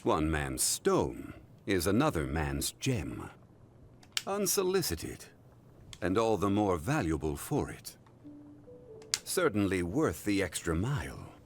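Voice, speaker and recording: A man voicing a composed, dignified gentleman in a video game, measured and formal. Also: deep voice, huge pitch swings, a little hiss or hum, exaggerated voice.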